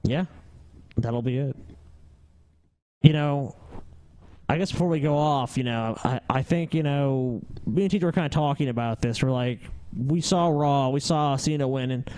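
A man's voice: medium pitch, moderate pace, long pauses, a little mellow, dry recording. A man in his twenties co-hosting a podcast with casual, rambling, sweary banter and stories. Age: 20s. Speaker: podcast co-host.

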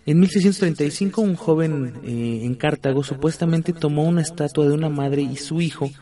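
A man's voice: a little high, brisk, mellow. A man, co-hosting a casual chat show and telling stories with many restarts. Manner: storytelling, many restarts and filler words. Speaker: podcast co-host. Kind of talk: casual chat about the paranormal.

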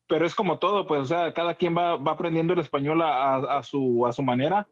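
A man's voice: fairly high pitch, brisk, no room sound, highs cut off. A man with a Mexican accent chatting casually as a co-host, talking in a rambling, repetitive way. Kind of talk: casual chat.

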